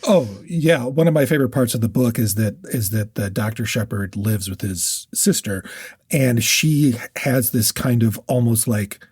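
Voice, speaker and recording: A man's voice: mid-range voice, moderate pace, darkish tone, slightly rough, no room sound. A man co-hosting a podcast, chatting casually and agreeably about books, feeling his way through his phrasing. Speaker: podcast co-host. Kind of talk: casual book discussion.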